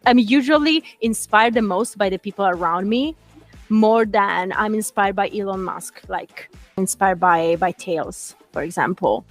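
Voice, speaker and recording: Italian-accented woman co-host, earnest and halting, light music under her. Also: medium pitch. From Italy. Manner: earnest, halting with frequent uh fillers. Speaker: show co-host. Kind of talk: interview answers.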